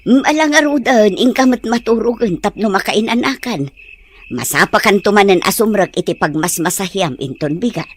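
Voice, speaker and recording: A woman playing a tender, long-suffering mother in an Ilocano radio-style drama, gentle and earnest, with an Ilocano Filipino accent. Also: medium pitch, moderate pace, slightly rough, natural voice. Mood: tender, weary. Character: tender, long-suffering mother counselling her grown son.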